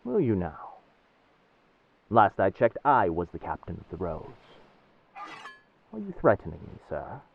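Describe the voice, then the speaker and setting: Man playing a deep-voiced, commanding pirate captain. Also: wildly swooping pitch, measured pace, gravelly, long pauses, slightly rough, narrow, tinny audio, full character voice. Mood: menacing, indignant. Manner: old seafaring diction, addresses others as lass and sir. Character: commanding pirate captain, roguish, by turns tender and menacing. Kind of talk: roleplay audio drama, speaking to the listener.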